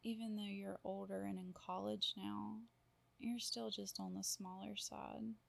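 A woman speaking in a soft, cooing half-whisper with drawn-out murmurs, in an intimate audio roleplay. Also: mid-range voice, level tone, gravelly. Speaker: roleplay performer.

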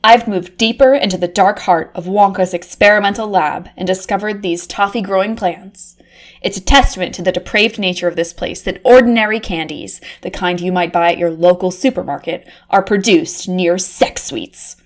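A woman voicing a flustered, easily aroused undercover reporter, swinging from hushed murmurs to shrill cursing. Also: normal speed, lightly acted. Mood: mock-serious. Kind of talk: comedic erotic audio drama monologue.

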